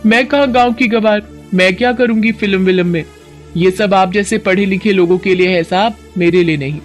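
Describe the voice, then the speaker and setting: A woman's voice: mid-range voice, moderate pace, soft background music, close to an everyday voice. A woman playing a naive, good-hearted young village woman in a Hindi cartoon story, speaking simply and earnestly. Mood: modest. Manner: simple, chatty, deferential, earnest. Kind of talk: Hindi animated story voice acting.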